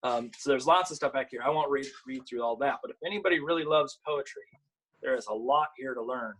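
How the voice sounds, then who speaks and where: A man presents picture books at a virtual storytime. His voice sits at a middle pitch with a slightly husky edge. He speaks informally, as an author and illustrator sharing his own work. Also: a bit bright. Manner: informal, halting when chatting. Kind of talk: virtual storytime, reading aloud and chatting about the books.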